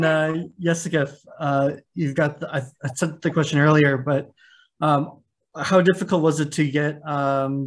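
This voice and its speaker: Casting: man in his thirties, even-toned moderator on a video call, hesitant delivery. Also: medium pitch. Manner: halting, many fillers. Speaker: panel moderator. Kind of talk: moderating a panel. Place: home room, indoors.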